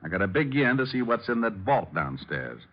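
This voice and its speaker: An American actor playing a wisecracking, unflappable private detective in a wry, glib radio drama style. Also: male voice, mid-range voice, very animated, fairly quick, natural voice. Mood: cool, wisecracking. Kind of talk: 1940s radio acting with first-person narration. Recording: muffled old radio recording, music behind.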